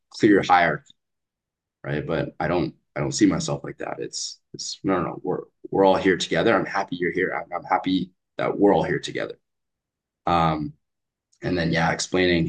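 A man from British Columbia, Canada, a basketball coach talking earnestly as a podcast guest, with frequent fillers and restarts. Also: deep voice. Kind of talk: casual podcast interview. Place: Vietnam.

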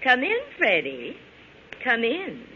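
Hard-edged, possessive lady club boss: highish, bright, sardonic, lo-fi vintage radio. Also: wildly swooping pitch, slow pace, exaggerated voice. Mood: cool command. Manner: sardonic, pointed, melodramatic. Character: possessive, wounded, tough nightclub boss woman in love. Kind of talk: 1940s radio drama acting. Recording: dull, slightly noisy old radio recording.

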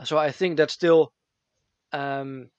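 A Dutch man speaking English with his accent, his voice sitting a little high for a man. He rambles loosely with lots of fillers and giggles. The sound is dull and very dry. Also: steady tone, slow pace, clean tone, no echo. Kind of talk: casual chat.

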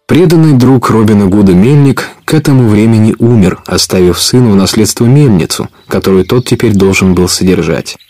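A man's voice: lowish voice, a little fast, few pauses, warm tone, no echo. A man narrating an adventure audio play in a scripted storytelling style.

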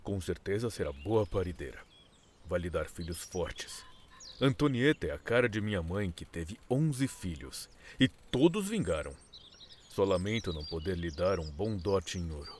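A man's voice: deep voice, expressive, moderate pace, slight background noise. An actor playing an obsequious middle-aged landowner and father of the bride, with a Brazilian accent and formal old-fashioned speech in a radio drama. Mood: ingratiating. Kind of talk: radio soap opera acting.

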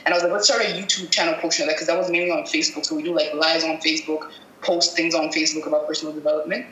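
Bright-voiced woman from Cameroon, rambling. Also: low voice, steady tone, rapid-fire. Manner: rambling, self-correcting, thinking aloud. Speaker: guest videographer. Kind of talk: casual interview answers. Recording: echoey room with faint noise.

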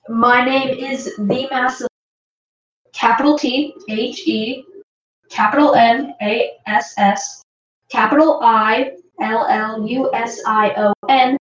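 Podcast guest, woman, slow and careful, somewhat high voice, roomy lo-fi sound. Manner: slow, spells things out carefully. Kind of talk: casual podcast chat.

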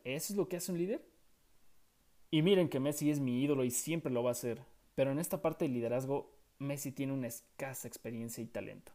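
A man's voice: mid-range voice, dark tone. A young man hosting a sports commentary show, reading from a script in an opinionated way and asking many rhetorical questions. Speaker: sports podcast host.

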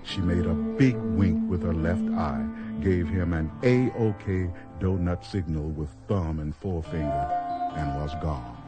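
A man with a husky voice narrating a scripted radio drama in a measured, dramatic storytelling manner. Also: low voice, over loud music.

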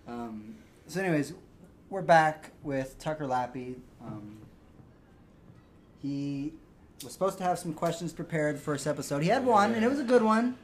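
A man's voice: fairly high pitch, animated, normal speed, slight background noise. A man hosting a comedy podcast, rambling through crude jokes with lots of fillers. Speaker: podcast host. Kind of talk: casual comedic chat.

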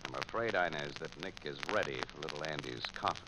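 An actor playing a tough, worldly American crime photographer in 1940s radio drama style. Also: male voice, extremely low pitch, fast talker. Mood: dry, thoughtful. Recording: noisy, crackly old-time radio recording.

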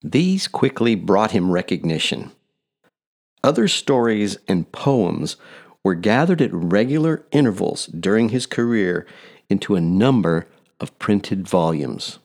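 Slow, low-voiced man hosting a podcast. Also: big pitch swings, a little mellow. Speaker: podcast host. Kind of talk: scripted podcast narration.

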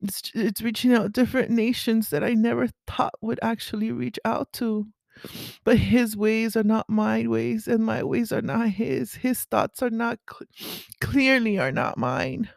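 A woman with a raspy voice speaking haltingly and earnestly in a confessional, faith-based podcast monologue. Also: a little high, no echo. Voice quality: raspy early-morning voice. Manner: halting, earnest, with throat clearing. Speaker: podcast host.

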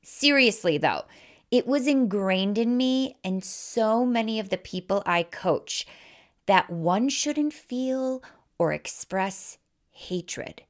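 A woman's voice: fairly high pitch, lively intonation, slow. A woman with a Midwestern American accent hosting a podcast monologue, speaking candidly and informally with emphatic, persuasive delivery. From Wisconsin, American Midwest. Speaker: life coach, podcast host.

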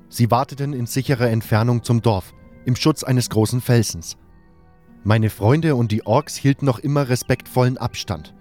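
A man's voice: mid-range voice, darkish tone. A man reading a scripted first-person fantasy story as an audiobook narrator.

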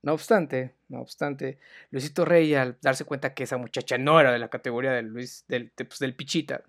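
A man's voice: medium pitch, fairly even intonation, fairly quick, fairly bright tone. Slangy Mexican man, casual chat. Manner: slangy, says "güey" a lot, drops to a mutter. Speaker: podcast co-host. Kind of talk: casual chat reviewing a TV series.

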